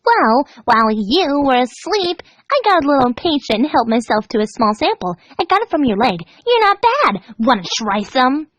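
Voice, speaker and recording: A woman's voice: high-pitched, big pitch swings, normal speed, few pauses. A woman voicing a perky, childish female cartoon pony with a chatty, pouty manner and a cruel streak. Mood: casual, chatty. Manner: chatty, pouty, scolding, casual about cruelty. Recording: dull, echoey home recording.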